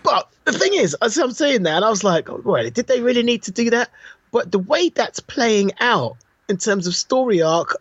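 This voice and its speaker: A man's voice: sits high, big pitch swings, moderate pace, fairly bright tone, breathy edge, dry recording, lo-fi sound. A British man chatting casually as a podcast co-host, jokey and full of opinions. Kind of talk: casual chat.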